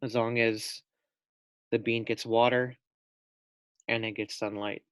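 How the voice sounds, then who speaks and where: A man reads a children's story aloud at a slow pace, in a middling pitch. The recording is close and dry, and he speaks simply and directly, like a teacher with young pupils. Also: flat delivery, clean tone, no room sound. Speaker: teacher reading aloud to first graders. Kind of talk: expressive storybook read-aloud with casual asides to young listeners.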